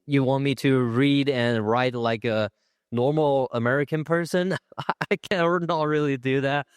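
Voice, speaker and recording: Teen boy, slow and searching. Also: medium pitch, clear, resonant tone, no room sound, clean studio sound. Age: teens. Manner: slow, searching for words, laughs at himself. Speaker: international student. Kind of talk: student panel answers.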